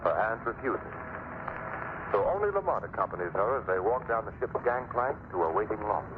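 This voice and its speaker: A man narrating scene-setting in a measured, formal old radio manner. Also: on the low side, big pitch swings. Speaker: announcer. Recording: tinny, very hissy old radio broadcast.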